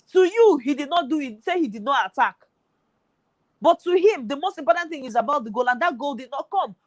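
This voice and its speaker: A woman's voice: high-pitched, dry recording, rough recording. Emphatic woman arguing a football point. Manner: emphatic, argumentative. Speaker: football pundit. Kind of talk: studio football discussion.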